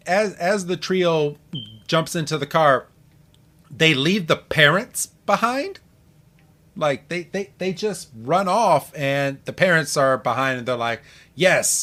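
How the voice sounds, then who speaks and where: Higher-pitched man recapping a show. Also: bright, forceful tone. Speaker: podcast co-host. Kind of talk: casual recap of a cartoon episode.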